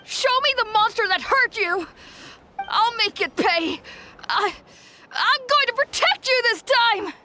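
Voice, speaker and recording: Piercing, feisty little kid. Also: female voice, very high-pitched, lively intonation, takes it slowly, a little hiss or hum, exaggerated voice. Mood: defiant. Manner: forceful, earnest, exclamatory. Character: feisty, determined little child, fiercely protective younger sibling. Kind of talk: English video game voice acting.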